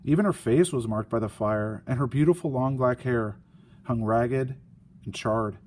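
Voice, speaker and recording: A man reading a children's story aloud, with deliberate phrasing and dramatic emphasis. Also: mid-range voice, a little mellow. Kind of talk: expressive children's story narration. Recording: faint background noise and ambience.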